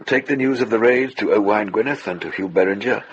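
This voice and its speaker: Abbot, played by a male actor, radio drama: low voice, grave authority, devout, light noise in the background. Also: a little fast, highs cut off, natural voice. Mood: businesslike command. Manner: solemn, commanding. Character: stern, commanding medieval abbot, devout and authoritative.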